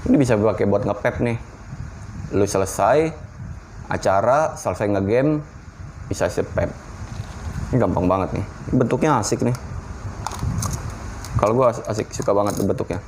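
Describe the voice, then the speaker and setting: A man's voice: on the low side, lively intonation, moderate pace, long pauses, darkish tone, slight background noise, poor audio. A man, giving an informal, slangy, unscripted hands-on product review. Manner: informal, slangy, talking through a hands-on demonstration.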